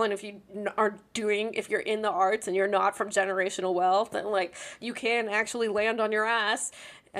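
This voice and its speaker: A woman's voice: medium pitch, fairly bright tone. A woman talking candidly and informally in a reflective conversation, peppering it with "like" and "you know".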